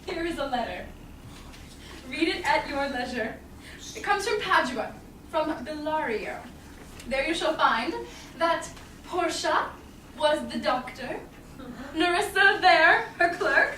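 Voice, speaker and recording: A woman's voice: high-pitched, expressive, slow, long pauses, pushed and bright, full character voice. A young woman playing a witty, self-possessed noble lady in a Shakespeare staged reading, projecting from a theatre stage. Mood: poised, knowing. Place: small theatre stage with audience. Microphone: far camera in the audience. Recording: roomy, distant, noisy theatre recording.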